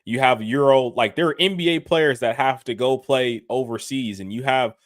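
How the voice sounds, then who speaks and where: A man co-hosting a sports podcast, explaining news and making his case in a measured, casual way. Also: medium pitch, no echo, clean studio sound. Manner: measured, explaining, some restarts. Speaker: podcast co-host.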